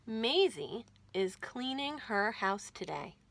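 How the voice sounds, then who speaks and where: A woman hosting storytime for young children, reading aloud in an animated, expressive way and speaking to them directly. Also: on the high side, slow pace. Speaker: children's library storytime host.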